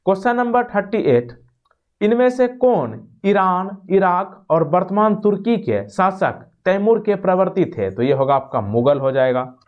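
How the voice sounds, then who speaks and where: A man teaching a quiz lesson, reading out questions, rephrasing them and giving answers in an explanatory way. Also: high voice. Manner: explanatory, repeats and rephrases each question, checking tags. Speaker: exam-prep teacher. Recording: dull, lo-fi sound.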